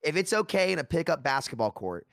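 A man's voice: on the high side, sing-song, quick pace, creaky, fairly bright tone, no echo, studio quality. A young man in a casual panel discussion, laid-back and rambling, with lots of fillers and "right?" asides. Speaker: panel guest.

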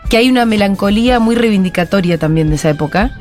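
A woman's voice: medium pitch, lively intonation, fast, darkish tone, faint music behind. A woman with an Argentine accent hosting a radio interview. She talks conversationally and reads listener messages aloud. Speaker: radio host.

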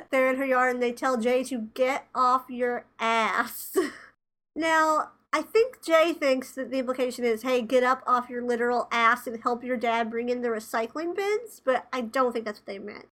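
A woman's voice: a little high, moderate pace, a bit bright. A woman in her twenties, a podcast co-host, talking in casual chat, sounding nasal and congested from a cold. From Tennessee, USA. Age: 20s.

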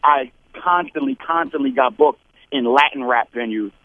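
A man's voice: medium pitch, expressive, normal speed, no room sound. Man on a tinny phone line. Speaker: guest rapper calling in. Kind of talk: casual phone-in interview.

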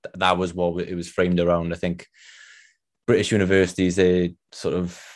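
A young man with a Welsh accent hosting a podcast, asking questions in a relaxed, conversational way. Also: low voice, normal speed. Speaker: podcast host. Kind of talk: casual interview questions.

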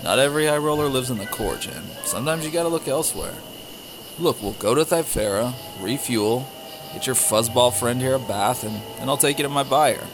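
A man's voice: medium pitch, brisk, lightly acted. A man playing a wry, roguish smuggler pilot in an audio drama, casual and cocky. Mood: haggling. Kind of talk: audio drama character acting. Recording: music playing behind the voice.

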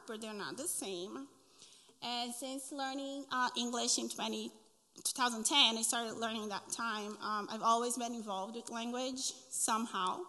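A woman's voice: fairly high pitch, pushed and bright. A woman in her thirties with a Brazilian Portuguese accent, sharing an earnest personal testimony at a church women's breakfast, with frequent ums and restarts. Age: 30s. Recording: long reverb of a hall.

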